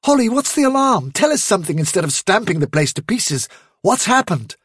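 A firm, commanding rabbit leader from a storybook , acted by a man, British and raised in pitch. He speaks briskly and stubbornly, with a husky edge and a close, dry sound. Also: expressive, dry recording. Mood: alarmed, impatient.

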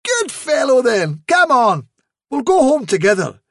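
A man voicing a kindly, hearty dog owner in a storybook reading, speaking in a slow, coaxing way. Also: very high-pitched, huge pitch swings, fairly bright tone, no room sound, studio quality, voice pushed up, exaggerated voice.

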